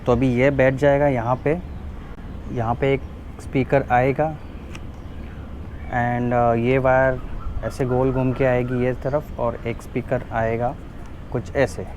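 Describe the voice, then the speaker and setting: A man's voice: mid-range voice, level tone, slow, long pauses, darkish tone. Indian guy doing a casual unboxing vlog, normal mid voice, mixes in english, some noise behind him. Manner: informal, switches between Hindi and English. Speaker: motovlogger presenting a product. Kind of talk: casual unboxing and installation walkthrough.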